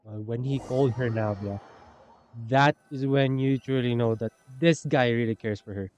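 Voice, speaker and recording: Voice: mid-range voice, moderate pace, a little mellow. A streamer giving casual, blunt, sweary reactions while playing a video game.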